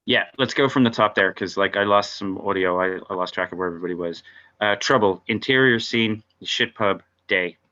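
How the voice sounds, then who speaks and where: Male narrator for screenplay read-through: deep, forward tone, somewhat dull recording. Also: fairly quick, bright, forceful tone. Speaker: narrator reading stage directions at a table read. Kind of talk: reading screenplay directions aloud.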